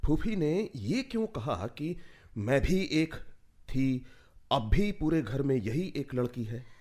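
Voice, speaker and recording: A man's voice: medium pitch, very animated, slow pace, a little mellow. An actor playing a polite, curious man asking questions in a radio play. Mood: apologetic, puzzled.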